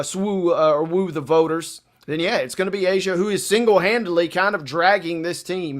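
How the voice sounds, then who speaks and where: High pitched guy cohosting sports radio, chatty and playful with the slang. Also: a bit bright. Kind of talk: casual sports talk commentary.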